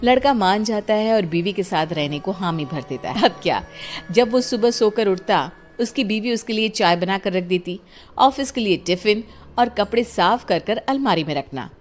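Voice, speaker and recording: A woman narrates a cartoon tale from a script. Her voice sits at a medium pitch, with faint music running underneath. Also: animated, normal speed.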